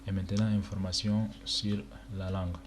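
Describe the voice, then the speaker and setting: A young man from Chad whose first language is Arabic, casually talking through a screen-recorded walkthrough with many pauses and fillers. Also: low voice, level tone. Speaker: tutorial presenter. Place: indoor room at a computer. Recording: noisy home recording with keyboard clicks.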